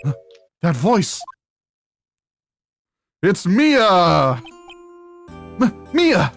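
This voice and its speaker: A man's voice: sits high, huge pitch swings, drawn-out words, gravelly, faint music behind, exaggerated voice. A man voicing an earnest, anxious young defense lawyer in a fan voice-over of a visual novel game, hesitant and questioning. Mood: astonished.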